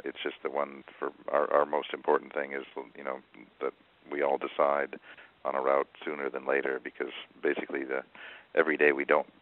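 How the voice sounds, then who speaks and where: A man with a very deep voice answers questions on a conference call, hesitating and restarting his sentences often. He talks in a flat, even tone. The sound is tinny, like a phone line. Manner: halting, many fillers and restarts. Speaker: oil company executive answering analysts.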